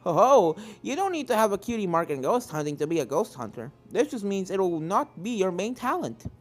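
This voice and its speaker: A woman's voice: medium pitch, sing-song, brisk, a little mellow, soft background music. A woman voicing an encouraging adult male ghost-hunter pony, a hearty cartoon mentor who talks instructively. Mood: proud.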